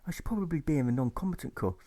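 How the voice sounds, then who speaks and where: A man's voice: a little high, expressive, very fast, warm tone, voice pushed up, close to an everyday voice. A man voicing a timid teenage conscientious objector who talks in a nervous, hesitant ramble. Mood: fretful.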